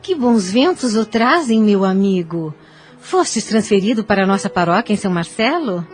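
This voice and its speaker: A woman plays a sickly, resigned mother in an audio melodrama. She has a mid-pitched Brazilian-accented voice, formal and plaintive, over faint music. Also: animated, normal speed, natural voice. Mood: warm welcome. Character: ailing, sorrowful middle-aged mother, gentle and resigned. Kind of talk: melodramatic audio drama acting.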